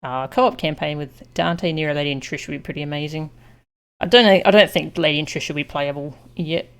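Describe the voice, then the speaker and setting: A woman's voice: low voice, brisk, clean tone. A woman game streamer giving casual commentary on a playthrough.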